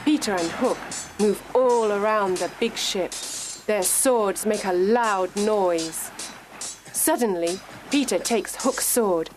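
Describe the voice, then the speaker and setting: A woman narrating a scripted children's story for language learners, deliberate and carefully enunciated. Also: mid-range voice, over music.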